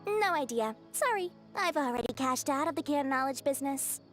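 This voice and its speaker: A shrewd, greedy young merchant woman speaks in a high, lively, sing-song voice. She talks slyly and lectures with playful cunning. Soft game music runs underneath. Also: normal speed. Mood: scheming. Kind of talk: video game voice acting.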